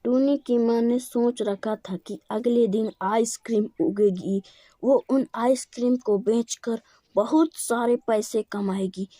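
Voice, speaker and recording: A woman's voice: a little high, steady tone, slow pace, mellow, no room sound, clean studio sound. A woman narrating a scripted children's moral story.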